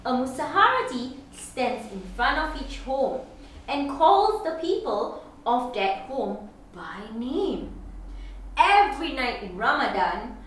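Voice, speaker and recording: A woman reading a children's picture book aloud, with slow, deliberate and expressive phrasing. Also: sits high. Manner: slow, deliberate, animated phrasing with sing-song lines. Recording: very echoey room, distant mic, background noise.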